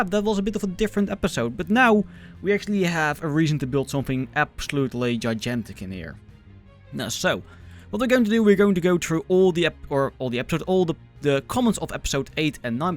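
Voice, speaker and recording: A man's voice: a little high, fast. A young man from the Netherlands with a Dutch accent, giving casual, enthusiastic and self-deprecating gaming commentary as a video host. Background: music.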